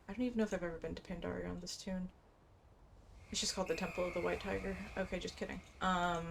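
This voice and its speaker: Casual, slightly low-voiced woman gamer. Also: vocal fry. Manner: informal, musing, thinking aloud. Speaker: game streamer. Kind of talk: casual gameplay commentary with quest text read aloud. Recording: faint background noise and game ambience.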